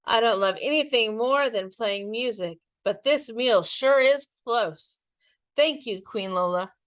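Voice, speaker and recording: An earnest little boy musician from a storybook, easily discouraged and a bit plaintive, voiced by a woman reader. The voice is fairly high and sounds dry. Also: measured pace, a bit bright, dry recording. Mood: friendly, grateful. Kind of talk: children's storybook read-aloud.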